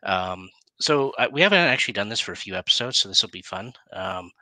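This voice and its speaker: A middle-aged man hosts a casual live interview. His voice is a bit deep yet very bright and sharp-edged. He chats with hesitant phrasing into a headset, with a dry, close sound. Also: animated, a little fast, dry recording, poor audio. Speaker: talk show host. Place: plain room, indoors.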